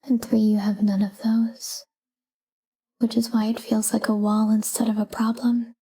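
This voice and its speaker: A woman softly guiding a sleep meditation in a calm, whispery, breathy voice, speaking in short, unhurried phrases with pauses. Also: a little high, rough recording. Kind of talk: soft guided sleep meditation, whispered talk-down.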